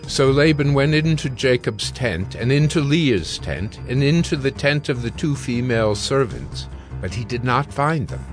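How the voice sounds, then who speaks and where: A man gives measured, scripted narration for an audio Bible. His voice sits in a middle pitch, with faint music underneath. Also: animated. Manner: measured, storytelling.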